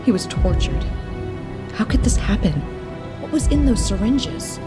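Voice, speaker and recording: A woman's voice: medium pitch, sing-song, a little slow. A woman acting as an emotional, easily distraught young female scientist in an audio drama. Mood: grieving anger. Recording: dramatic music behind the voice.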